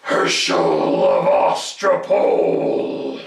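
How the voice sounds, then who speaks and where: A man voicing a fearsome, huge goblin king with a slow, booming, drawn-out menace in a storybook tale. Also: wildly swooping pitch, vocal fry, heavily acted. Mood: menacing. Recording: roomy, echoing, distant mic.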